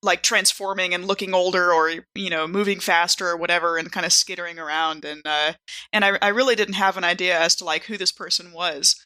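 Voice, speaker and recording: A woman's voice: lowish voice, steady tone, fairly quick, bright, forceful tone. A woman chatting casually as a podcast cast member, looking back on a character she played. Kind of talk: casual retrospective chat.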